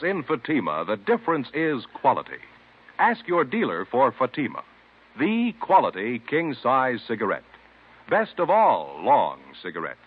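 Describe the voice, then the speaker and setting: A man's voice: medium pitch, slightly rough. A man reading a vintage radio commercial as an announcer, with an emphatic salesman's delivery. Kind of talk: 1950s radio advertising pitch. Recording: tinny vintage radio recording.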